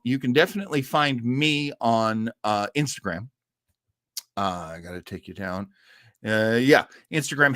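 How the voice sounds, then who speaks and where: Male livestream host, middle-aged; very lively intonation, dry close sound, jovial, rambling, casual chat delivery. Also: medium pitch, dry recording. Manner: jovial, rambling, chuckling, self-deprecating. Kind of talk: casual livestream chat, reading viewers' comments.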